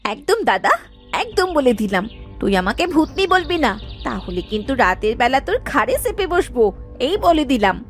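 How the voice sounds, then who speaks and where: Give this greedy young cartoon daughter, played by a woman, a high, very animated voice. She whines and exclaims petulantly, always hungry. Light music runs underneath. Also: a little fast. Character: greedy, whiny young daughter obsessed with food. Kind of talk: Bengali children's cartoon dubbing.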